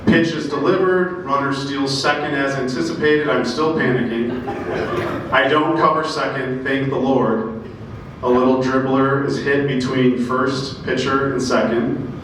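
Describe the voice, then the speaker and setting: A man giving an animated storytelling speech at a banquet as a former player. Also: medium pitch, a little slow. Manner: animated anecdote-telling, acting out quotes. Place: banquet room. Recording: echoey room, distant mic, faint noise.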